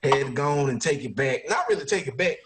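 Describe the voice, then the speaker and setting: A man's voice: medium pitch, expressive, fast, very noisy. A man hosting a podcast in casual, slangy banter peppered with profanity. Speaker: podcast host.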